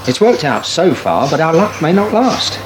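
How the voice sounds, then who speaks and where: An actor playing a grumbling, sardonic, hard-pressed farmer in a naturalistic radio soap. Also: male voice, a little high, very animated, normal speed. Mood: sardonic, weary. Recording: noisy 1980s radio drama.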